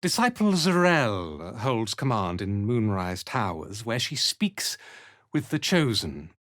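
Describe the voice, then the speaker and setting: An actor playing a cold, condescending cult commander in a video game, speaking in a formal, measured way. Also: mid-range voice, very animated, fairly bright tone, no room sound, studio quality. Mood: measured, commanding. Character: haughty, cold cult commander, disdainful slave-driver and zealot. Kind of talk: video game character dialogue.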